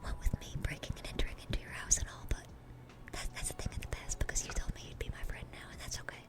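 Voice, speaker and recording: A woman's voice: fast, natural voice. Whispering dorky demon girl, voiced by a woman. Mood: eager, nervous. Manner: rambling, stammering, eager and awkward. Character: dorky, chatty, sweet demon girl with horns and fangs. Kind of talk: whispered ASMR roleplay.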